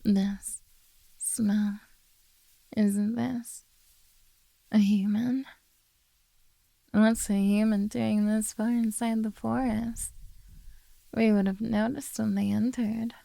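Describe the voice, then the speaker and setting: A woman playing a possessive, coaxing beastkin monster girl in a soft, teasing ASMR roleplay. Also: mid-range voice, little pitch movement, takes it slowly, vocal fry, long pauses, dry recording. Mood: curious, musing.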